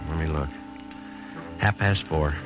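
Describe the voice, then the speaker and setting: A man playing a police detective who narrates his case in a flat, clipped, matter-of-fact 1940s radio drama style. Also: rumbling low, a little slow, over loud music. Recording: muffled, hissy old radio broadcast.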